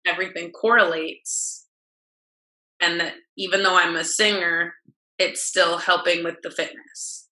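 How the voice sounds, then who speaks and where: A woman's voice: on the low side, fairly even intonation, a little slow, sharp tone, echoey room, rough recording. A young woman, a dancer and fitness instructor, talking openly and reflectively as an interview guest, with lots of fillers. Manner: open, self-reflective, talks with many fillers. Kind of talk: candid conversational interview.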